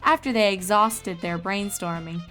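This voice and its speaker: A woman's voice: medium pitch, unhurried, a bit bright, soft background music. A woman reading a story as scripted narration.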